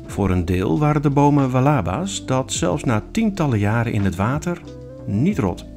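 A man reading a scripted travel voice-over in an informative, steady way. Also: mid-range voice. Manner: informative, reads facts steadily. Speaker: vlog narrator.

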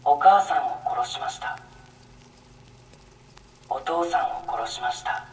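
A man playing a grave, haunting storybook narrator in a game, confessing dark deeds. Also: medium pitch, close to an everyday voice. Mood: somber. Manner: slow, grave. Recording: long echoing reverb, distant.

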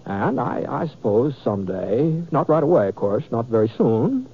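An actor playing a bashful, flustered cowboy bachelor, hesitant and drawling, in a 1950s radio western. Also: male voice, medium pitch, sing-song, normal speed. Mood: awkward musing. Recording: dull old radio recording, clear noise.

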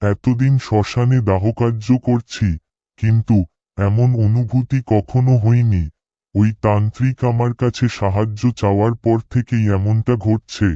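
A man's voice: on the low side, fairly even intonation, unhurried, warm tone, no echo, dull audio, lightly acted. A man voicing a dutiful, earnest village cremation-ground worker in a horror cartoon. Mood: puzzled, uneasy. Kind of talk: cartoon dialogue and spoken thoughts.

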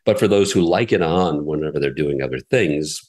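A middle-aged man with a very low, deep voice talks through his ideas in a measured, explanatory way. He often restarts his sentences as he works out what he means, like a seasoned radio executive answering interview questions. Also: lively intonation, darkish tone. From United States. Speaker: radio station president, guest.

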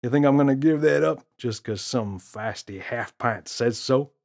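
A man's voice: mid-range voice, moderate pace, creaky, darkish tone, lightly acted. A man voicing a cocky, mischievous talking gorilla with a slangy, teasing, swaggering delivery. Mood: smug.

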